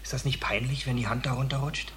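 A man's voice: medium pitch, fairly even intonation, fast talker, fairly bright tone, a little hiss or hum, close to an everyday voice. A man playing a bullying, sneering police detective who questions a suspect in a German radio crime drama. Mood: taunting. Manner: needling, confrontational questioning. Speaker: police interrogator.

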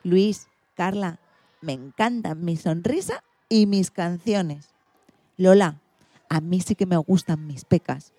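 A woman's voice: fairly low pitch, slow, dark tone, dry recording. A woman playing an earnest, dreamy schoolgirl in a radio play of a children's storybook. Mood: self-assured.

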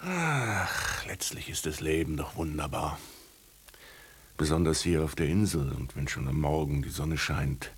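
Musing writer-narrator, extremely deep voice, slow pace, wry, measured, radio drama, typewriter clicks behind. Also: male voice, animated, slightly rough, exaggerated voice. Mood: contented. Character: unhurried, contemplative male writer narrating his cat story.

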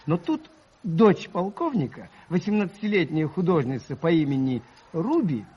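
Lively, expressive gentle priest. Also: male voice, on the high side, breathy edge. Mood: modest, wry. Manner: modest, unhurried, hesitant, old-fashioned courtesy. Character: Father Brown, gentle modest priest telling an old tale. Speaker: actor in a radio play. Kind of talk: 1980s Soviet radio drama acting, storytelling. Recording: slightly muffled vintage radio recording.

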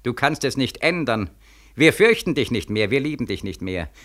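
Bitter war-broken soldier, sharp, animated. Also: male voice, medium pitch, moderate pace. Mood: scornful. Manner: insistent, rhetorical questioning. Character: bitter, desperate, war-broken young soldier, accusing and mocking. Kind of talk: 1940s German radio play acting.

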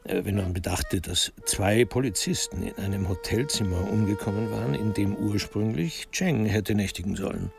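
A low male voice with a gravelly crackle narrates a crime radio drama. He tells it in measured, literary phrases, with music clearly playing behind him.

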